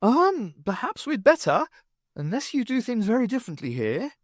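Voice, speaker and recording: A man's voice: extremely high pitch, wildly swooping pitch, moderate pace, dry recording, voice pushed up, exaggerated voice. A man voicing a wry, wary adventuress. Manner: dry, wary, sardonic. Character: witty sardonic adventuress, adult woman. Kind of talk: fan audiobook character voice.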